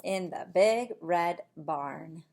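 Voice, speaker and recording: A middle-aged woman reading a picture book to young children in a deliberate, sing-song way, with simple asides, recorded in a room at home. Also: lowish voice, a little mellow, a little hiss or hum. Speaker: preschool teacher reading aloud. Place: small indoor room at home.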